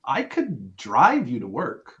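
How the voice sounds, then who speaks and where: Dull-sounding, animated boyfriend, played by a man. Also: mid-range voice, slow, heavily acted. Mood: pleading, teasing. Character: affectionate, gently pressing suburban boyfriend. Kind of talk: naturalistic dramatic script reading.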